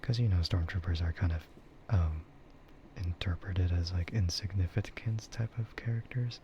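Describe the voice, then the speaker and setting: A man streaming in a soft ASMR chat style, rambling and easygoing, hesitating and often slipping into a whisper. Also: deep voice, normal speed. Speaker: VTuber streamer. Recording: muffled lo-fi audio with clear noise.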